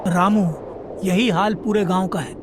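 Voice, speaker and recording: Indignant cartoon villager, voiced by an actor, mid-pitched, hissy track. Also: steady tone, unhurried, close to an everyday voice. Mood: urging. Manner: indignant, reproachful. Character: outspoken adult villager, indignant and firm.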